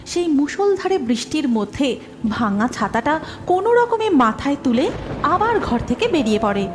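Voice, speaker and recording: High, animated woman storyteller. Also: moderate pace, noisy background. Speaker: narrator. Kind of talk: scripted cartoon story narration.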